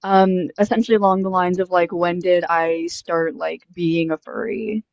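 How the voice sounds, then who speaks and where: A woman's voice: on the low side, flat delivery, moderate pace, no echo, lo-fi sound. A woman in her twenties doing a casual street interview, chatty and friendly with lots of fillers. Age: 20s. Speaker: host interviewing strangers.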